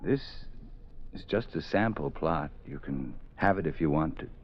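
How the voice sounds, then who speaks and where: A menacing gun-wielding writer. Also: male voice, a bass voice, animated, normal speed, slight background noise. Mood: brooding. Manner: sinister, toying, swings from soft to sudden shouts. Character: menacing male writer holding a woman at gunpoint. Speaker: radio drama actor. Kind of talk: 1940s radio acting. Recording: dull lo-fi old radio recording.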